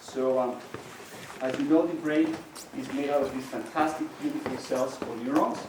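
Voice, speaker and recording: A man's voice: mid-range voice, fairly even intonation, normal speed, background noise. A middle-aged man whose first language is Spanish, giving a university lecture in an explanatory way with frequent restarts. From Mexico. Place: lecture hall. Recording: very echoey hall, distant mic.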